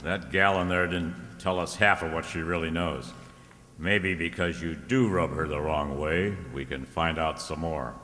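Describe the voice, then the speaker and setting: A man's voice: a bass voice, fairly even intonation, a little slow, pushed and bright, heavily acted. A man playing an easygoing, wry private detective in an old-time radio drama, with a breezy, teasing manner. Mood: coaxing. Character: George Valentine, easygoing wry male freelance detective. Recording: echoey hall with noticeable noise.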